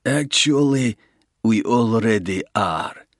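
A man voicing a smug, menacing Russian gangster who talks slowly and mocks his rival. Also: fairly low pitch, expressive, dry recording, studio quality, pitched above the performer's own voice. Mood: gloating. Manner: slow, gloating, mocking. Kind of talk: audiobook character reading.

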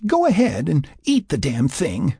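An exasperated woman settler in an audiobook, acted out by a man. The voice is raspy and raised, with very wide swings in pitch. She is stubborn, defiant and scolding. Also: wildly swooping pitch, moderate pace, darkish tone, dry recording, studio quality. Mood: angry, pained. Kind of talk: audiobook character dialogue.

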